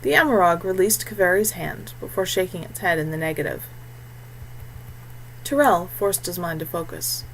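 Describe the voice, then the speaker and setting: A woman's voice: lowish voice, moderate pace, a bit bright, a little hiss or hum, low-quality recording. A woman reading scripted fantasy fiction as an audiobook narrator.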